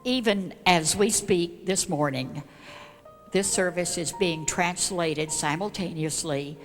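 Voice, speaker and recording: A woman giving a measured, formal introduction in a prepared speech to a congregation. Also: fairly low pitch. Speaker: church class leader introducing speakers. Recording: echoey hall, long reverb, music.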